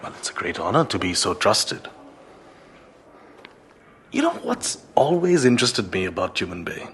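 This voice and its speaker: An actor playing a haughty, probing foreign emissary in an audio drama, with a husky voice and an imperious, sardonic manner. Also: male voice, lowish voice, very animated, moderate pace, fairly bright tone, slight background noise. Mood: sly, probing.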